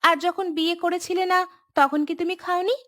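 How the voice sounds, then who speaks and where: A woman acts out a young village daughter-in-law for a cartoon. Her voice is high, and her manner is brisk and dutiful. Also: fairly even intonation, pushed and bright, no room sound, clean studio sound. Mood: questioning.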